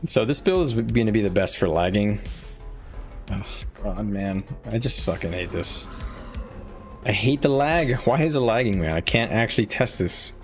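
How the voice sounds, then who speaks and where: Male game streamer, slightly low voice, irritable and profane, mulling things over aloud with faint music underneath. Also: lively intonation, moderate pace. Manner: frustrated, swearing, thinking aloud. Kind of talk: live gameplay commentary.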